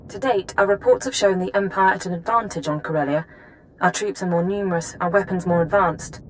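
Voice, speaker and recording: A woman's voice: lowish voice, a little fast, slight background noise, natural voice, low-quality recording. Composed intelligence handler, acted by a woman. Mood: composed, serious. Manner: precise, formal. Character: brisk, composed female intelligence analyst handler. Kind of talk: video game voice acting.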